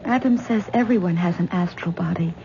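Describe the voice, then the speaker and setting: An actress playing an anxious young wife who stammers nervously in a vintage radio drama. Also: female voice, on the low side, fairly quick, mellow, close to an everyday voice. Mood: tense. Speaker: troubled wife. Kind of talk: 1970s radio drama acting. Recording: dull old radio broadcast with faint hiss.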